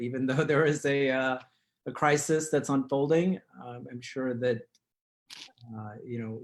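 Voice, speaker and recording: A man hosting an online community talk show from his living room on a video call, speaking in a formal, measured way. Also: medium pitch. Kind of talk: scripted-sounding show introduction and interviewing.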